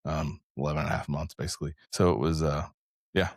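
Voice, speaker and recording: A man with a deep voice talks casually as an interview guest. He rambles a little, reworking his phrases as he thinks aloud. The sound is dry, with very little room in it. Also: fairly even intonation, fast, dry recording. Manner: rambling, conversational, thinks aloud with repeated rephrasing. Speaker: interview guest, software developer and engineering leader.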